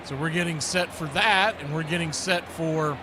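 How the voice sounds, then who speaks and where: A man's voice: fairly high pitch, moderate pace, noisy background. An American man hosting a live football watch party, chatting casually and in a folksy way as he previews the game.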